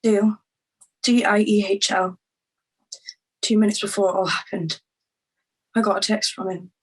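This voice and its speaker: A woman acting a shaken, grieving young crime witness in a screenplay table read, with halting delivery. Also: mid-range voice, fairly even intonation, breathy edge, rough recording.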